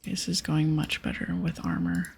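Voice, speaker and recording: A woman giving casual, gentle ASMR commentary on a video game in a soft, breathy, often whispered voice. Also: low voice, very animated, moderate pace, a little hiss or hum. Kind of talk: ASMR game commentary, reading dialogue aloud. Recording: soft music behind. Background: music, keyboard clicks.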